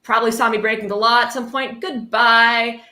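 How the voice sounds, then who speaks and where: A woman with a bright, forward voice hosts a casual livestream. She is sarcastic and swears freely. The room adds a noticeable echo. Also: on the high side, steady tone, moderate pace. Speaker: livestream host moderating chat. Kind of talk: casual livestream chat with dry banter. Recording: roomy echo.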